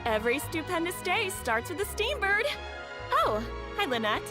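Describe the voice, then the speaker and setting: A woman's voice: extremely high pitch, sing-song, moderate pace, over loud music. An actress voicing a cheerful, eager young woman who works the front desk of a newspaper in a video game, speaking in a bubbly, welcoming way. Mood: chipper.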